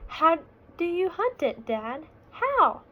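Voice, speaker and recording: Woman playing small storybook bear, very eager, high-pitched, questioning, sing-song, noisy background. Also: very animated, slow pace, phone-quality sound, heavily acted. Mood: curious. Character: curious, eager little bear cub in a storybook.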